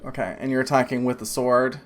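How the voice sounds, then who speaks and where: A woman's voice: extremely low pitch, fairly even intonation, a little fast. A woman running a tabletop game as game master, narrating loosely with many filler words. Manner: loose, full of "like" and "kinda" fillers.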